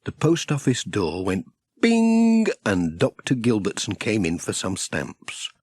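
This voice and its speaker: A man's voice: lowish voice, huge pitch swings, slow pace, darkish tone, husky, dry recording. A man with a British accent reading a scripted children's story as narrator.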